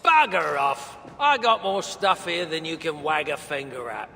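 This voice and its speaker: A gruff British dwarf broker in a fantasy video game. He is blunt and irritable, with a sharp, high-set, gravelly voice that swings widely in pitch. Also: lively intonation, a little slow, a little hiss or hum, heavily acted. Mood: grudging, irritable. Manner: blunt, irritable, swearing slang. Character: gruff, grumbling, grieving dwarf broker, crude-tongued. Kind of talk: video game cutscene acting.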